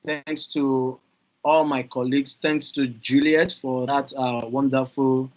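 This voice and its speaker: A Nigerian man speaking earnestly on a video call panel, halting with fillers and repeated words, over dull lo-fi audio. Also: medium pitch, flat delivery. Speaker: youth climate panelist.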